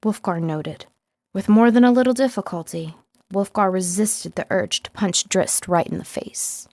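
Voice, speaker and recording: A woman's voice: medium pitch, moderate pace, a little mellow, no echo. Dry, close-sounding woman reading an audiobook. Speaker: audiobook narrator, voice-over artist. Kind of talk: scripted audiobook narration.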